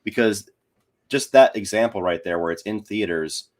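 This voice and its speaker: A man's voice: lowish voice, normal speed. A man talking casually about films as a guest on a podcast. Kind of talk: casual film discussion.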